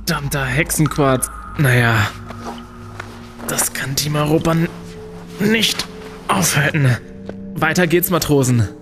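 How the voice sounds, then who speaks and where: A man's voice: fairly high pitch, slow, long pauses, music in the background. An actor playing a bossy, undaunted young male student leading adventurers in an audio drama, with commanding bravado. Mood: cocky.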